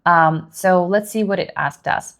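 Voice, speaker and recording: Woman, second-language English, slightly low voice, halting screencast talk-through. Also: fairly bright tone. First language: Russian. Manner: halting, thinks aloud while typing, many fillers. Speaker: productivity vlogger. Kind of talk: screen-share tutorial.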